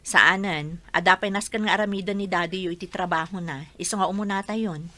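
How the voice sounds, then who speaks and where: Bright, plaintive neglected wife. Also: female voice, on the low side, brisk, no room sound. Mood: patient, sad. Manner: plaintive, pressing. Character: neglected, suspicious wife and mother, reproachful. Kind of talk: radio drama acting.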